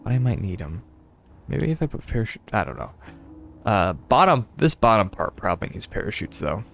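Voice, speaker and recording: Gravelly, rambling young male streamer. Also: fairly low pitch, sing-song, a little fast. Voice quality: slightly stuffy. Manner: rambling, hesitant, frequent laughs and fillers. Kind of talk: casual gameplay commentary.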